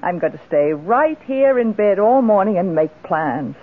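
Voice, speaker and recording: An actress playing a genteel, well-to-do middle-aged widow in a radio drama, gracious and expressive. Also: female voice, medium pitch, unhurried. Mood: gracious, cheerful. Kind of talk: old-time radio drama acting. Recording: muffled, band-limited old radio broadcast.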